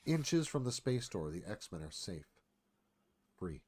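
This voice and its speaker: Animated man narrating an action screenplay. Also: lowish voice, slow. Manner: clipped, dramatic phrasing. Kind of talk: dramatic scripted narration.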